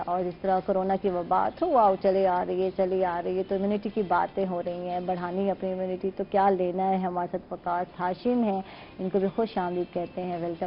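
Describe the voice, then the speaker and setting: A woman with a Pakistani Urdu accent hosting a live morning TV show, chatty and informal. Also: fairly low pitch. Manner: chatty, informal, quick asides. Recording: dull lo-fi broadcast sound with faint noise.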